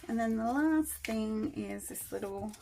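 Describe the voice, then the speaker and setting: A woman getting over a cold, her voice still croaky, chatting casually as she works on a journal at her craft table. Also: a little high, measured pace, dark tone, slight background noise, rough recording. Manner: chatty, thinking aloud while working. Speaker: crafter demonstrating journal making.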